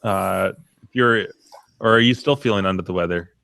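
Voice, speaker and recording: A man co-hosting a casual group chat, talking haltingly with restarts and making light jokes. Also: low-pitched, gravelly, fairly bright tone, no echo.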